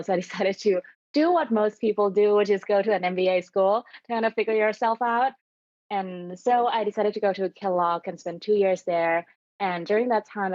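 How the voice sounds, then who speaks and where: A young woman from Thailand speaks with a lowish voice, recounting her path in a calm, measured way. The sound is dry and a little dull, like a remote call. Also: dry recording. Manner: measured, thoughtful storytelling with occasional laughs. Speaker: podcast guest, venture capital investor. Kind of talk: conversational interview.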